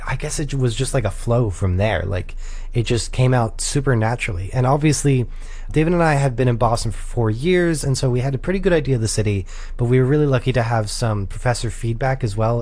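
A man's voice: mid-range voice, fairly quick. A young man in his twenties, a music producer being interviewed on radio, talking casually with lots of "like" and "sort of". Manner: casual, relaxed, many "like" and "sort of" fillers.

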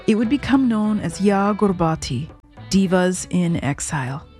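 A woman host giving measured, scripted documentary narration. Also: medium pitch, animated, darkish tone, faint music behind.

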